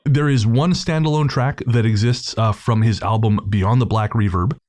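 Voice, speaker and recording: A man's voice: mid-range voice, steady tone, moderate pace, darkish tone. A man hosting a podcast, casually introducing an episode and speaking to listeners in an informal, slangy way. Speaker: podcast host.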